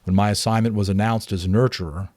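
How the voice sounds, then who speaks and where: A man voicing a gentle, shy father who earnestly recalls his childhood in an audiobook. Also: fairly low pitch, fairly even intonation, fast, no echo, close to an everyday voice. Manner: earnest, reminiscing.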